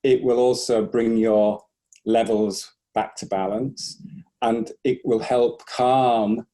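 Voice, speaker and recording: A man's voice: medium pitch, fairly even intonation, slow, mellow. A man, a breathing instructor, explaining carefully in a conversational interview and hedging his claims.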